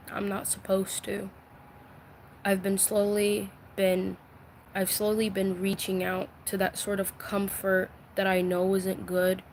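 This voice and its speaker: A woman speaks very slowly on a live stream from her bedroom, pausing often and restarting her sentences. Her tone is soft and confiding, close to tears, and a faint hum of room ambience sits behind her. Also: medium pitch. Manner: slow, halting, many fillers and restarts. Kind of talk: emotional live-stream vent.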